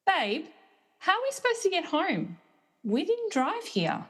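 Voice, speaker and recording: A woman playing a sensible, reassuring mother and friend in a radio play, brisk and casual. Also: extremely high pitch, big pitch swings, a bit bright, full character voice. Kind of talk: amateur radio-play acting.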